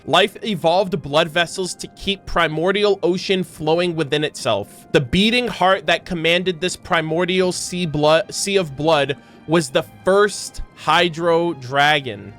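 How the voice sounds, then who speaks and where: A man's voice: a little high, sharp tone. A man giving casual, conversational and emphatic commentary as a game streamer. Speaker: streamer reacting to video game lore. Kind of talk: casual commentary, with some reading aloud. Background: background music.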